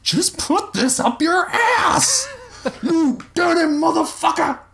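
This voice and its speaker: A man's voice: extremely high pitch, very animated, slow pace, vocal fry, pushed and bright, voice pushed up, full character voice. A man voicing a panicky, whiny young would-be action hero in an audiobook, pleading and protesting. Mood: frantic shouting. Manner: pleading, sobbing, yelping protests.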